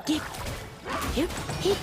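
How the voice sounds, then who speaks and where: Lady doing cool confident sword girl battle barks, slow drawn out words, loud game music. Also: natural voice. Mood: confident, fighting. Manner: short battle cries and effort shouts. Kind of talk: anime-style game combat barks.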